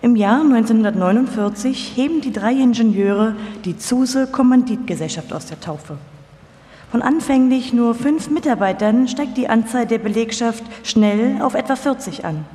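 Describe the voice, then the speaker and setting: A woman's voice: fairly high pitch, animated, moderate pace, dark tone. A woman reading scripted documentary narration as a narrator in a live radio feature. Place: large reverberant hall. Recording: long hall reverb, live performance.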